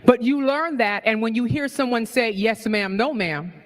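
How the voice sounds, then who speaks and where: A woman's voice: fairly high pitch, normal speed. A woman in her sixties from New York, giving a passionate public speech at a community forum and asking the audience questions. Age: 60s. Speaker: forum speaker.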